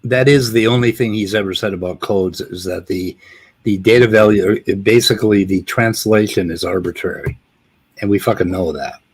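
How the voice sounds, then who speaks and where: A man's voice: fairly low pitch, moderate pace, slightly rough. A man talking casually in a panel discussion as its host, with a sardonic manner.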